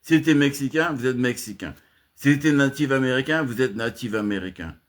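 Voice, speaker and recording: A man delivering an impassioned spoken rant to his channel, swinging from emphatic high outbursts to low muttered asides, with frequent restarts. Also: fairly quick. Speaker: channel host giving a monologue.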